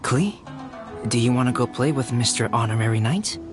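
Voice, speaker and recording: Guy doing a polite caring young gentleman, anime game, calm measured delivery, some music underneath. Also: mid-range voice, lightly acted. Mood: concerned, gentle. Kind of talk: anime-style video game voice acting. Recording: clear music behind the voice.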